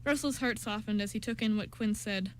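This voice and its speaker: A woman's voice: medium pitch, brisk, a little hiss or hum. A woman reading scripted story narration for an amateur radio show, with an occasional stumble.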